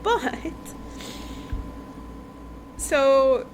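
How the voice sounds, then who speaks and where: High-voiced woman chatting over background noise. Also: expressive, drawn-out words. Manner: chatty, conversational, acts out dialogue in passing. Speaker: podcast host reviewing books. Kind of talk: casual chat retelling a novel's plot. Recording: clearly noisy background.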